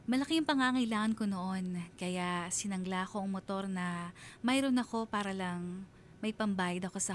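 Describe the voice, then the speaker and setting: An actress playing a sincere mother who tells her love story in measured, heartfelt narration for a radio drama. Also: lowish voice, a little hiss or hum, close to an everyday voice. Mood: reflective, troubled.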